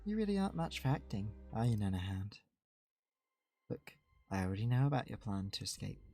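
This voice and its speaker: A man's voice: fairly low pitch, sing-song, a little fast, warm tone, dry recording, faint music behind. A man voicing a taunting, smug woman villain in a visual novel, mock-sweet and condescending, in a reading of the game. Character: Kat, taunting, smug woman villain running a deadly show.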